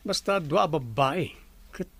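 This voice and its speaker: A man's voice: on the high side, expressive, measured pace, fairly bright tone, slightly rough, no echo, full character voice. An actor playing a polite male resort owner who greets guests courteously.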